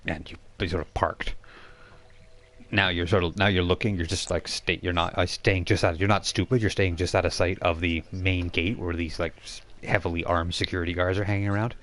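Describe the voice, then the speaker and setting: Low-voiced man running a tabletop game. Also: fairly even intonation, fairly bright tone. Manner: halting, informal, explanatory. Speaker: game master. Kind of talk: improvised narration of a tabletop role-playing game.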